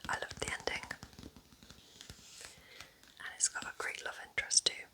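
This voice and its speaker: A woman whispering gently and informally in an intimate, unhurried ASMR style, as a host giving personal recommendations.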